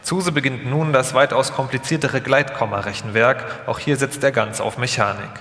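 Male documentary narrator, forceful bright tone, live delivery in a reverberant hall. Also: medium pitch, fairly even intonation, normal speed. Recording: echoey hall with long reverb.

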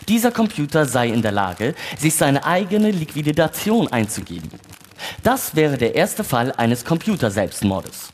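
An actor in a staged radio play reading, playing a chatty male mission radio operator with a newsreader's delivery that can turn breezy. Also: medium pitch.

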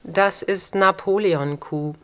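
A woman's voice: medium pitch, slow, fairly bright tone. A woman delivering a short scripted line, recorded in a voice booth. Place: dry voice booth.

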